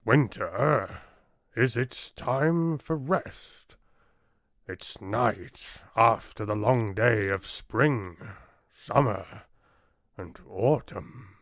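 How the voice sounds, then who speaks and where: A man voicing an ancient, solemn and gentle tree-giant who narrates a fairy tale audiobook in a deliberate, measured manner. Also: medium pitch, animated, no echo, clean studio sound, exaggerated voice.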